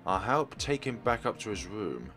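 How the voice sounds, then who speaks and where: Lively low-voiced man reading a game. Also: moderate pace. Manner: reads game text aloud, adds casual asides. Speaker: let's play host. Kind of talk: reading a visual novel aloud with commentary. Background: faint game music.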